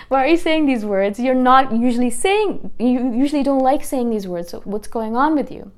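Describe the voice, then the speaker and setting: A woman's voice: high-pitched, animated, moderate pace. A woman in her thirties, a native Hindi speaker whose accent blends British, Canadian and Indian sounds, chatting casually to camera in a rambling way, often restarting and correcting herself. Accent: mixed British, Canadian and Indian. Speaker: YouTuber. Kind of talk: casual talking-to-camera vlog.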